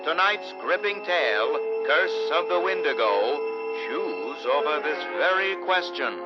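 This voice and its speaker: A solemn, theatrical American radio host, introducing tales in a portentous 1940s manner. The voice is fairly high, on a muffled old broadcast with clear music behind it. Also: male voice, lively intonation. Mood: ominous, inviting. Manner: dramatic, portentous, deliberate phrasing. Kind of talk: 1940s-style radio drama narration. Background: dramatic music.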